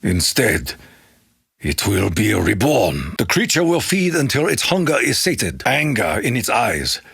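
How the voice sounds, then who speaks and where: A spiritual, honor-bound Japanese warrior for a video game. His Japanese-accented voice is rough and gravelly, with animated swings in pitch. He makes solemn, formal declarations, recorded close and dry. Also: measured pace, no echo, heavily acted. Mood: solemn, reverent. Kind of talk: video game character voice lines.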